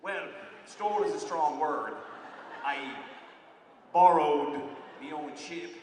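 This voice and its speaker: A man's voice: fairly high pitch, slow, reverberant, long reverb tail, recorded from a distance, a little hiss or hum. A man performing a stage comedy monologue as a boastful former pirate turned motivational speaker, with a put-on pirate accent, hammy growls and pirate slang. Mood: rambling. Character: boastful male pirate turned self-help guru. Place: large event hall with audience.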